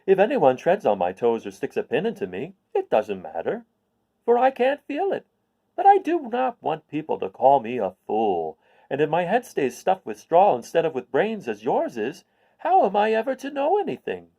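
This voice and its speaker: A man voicing a friendly, naive straw scarecrow in a children's storybook, with a husky, drawn-out, emphatic delivery. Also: fairly high pitch, wildly swooping pitch, mellow, lo-fi sound, pitched above the performer's own voice, full character voice. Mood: confiding.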